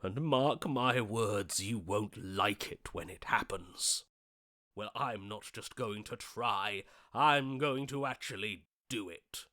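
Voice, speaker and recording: An actor voicing a grandiose, lovesick romantic hero in a video game, with florid, theatrical, archaic declamation. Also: male voice, on the low side, slow, sharp tone, no room sound, studio quality. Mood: vengeful grief, then grim resolve.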